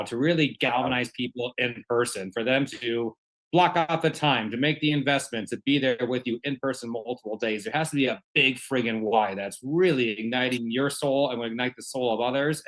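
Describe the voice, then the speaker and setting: A man's voice: medium pitch, a little fast, fairly bright tone, rough recording. A man hosting an interview in a conversational style, asking questions of a guest. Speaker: host, interviewer.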